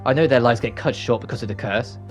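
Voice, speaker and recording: A man's voice: medium pitch, quick pace. A man hosting a let's play, reading story dialogue aloud and adding casual commentary. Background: game music.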